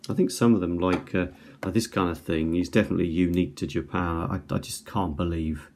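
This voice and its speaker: A man's voice: low voice, fairly even intonation, fairly quick, a little mellow. A man giving casual unboxing commentary as a video host, hesitant and musing as he thinks aloud. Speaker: video host reviewing items. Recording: home recording with faint background noise.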